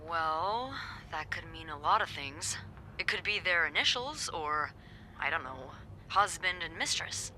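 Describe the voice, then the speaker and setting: An actress playing a wry hotel coworker, speaking in a dry, knowing, teasing way. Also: female voice, low voice, expressive, measured pace, pushed and bright, slight background noise, heavily acted. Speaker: hotel staff.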